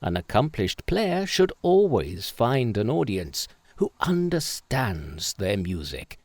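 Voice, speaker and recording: A man playing a wise old music master who speaks slowly and solemnly in a folk tale. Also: lowish voice, very animated, darkish tone, no room sound, full character voice. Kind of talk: scripted folk tale storytelling.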